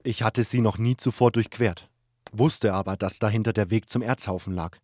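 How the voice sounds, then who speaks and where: A man with a low voice narrates a fantasy tale as a scripted audiobook. His delivery is measured and dramatic, with music clearly playing behind him. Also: fairly even intonation, background noise. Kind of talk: scripted fantasy story narration in first person.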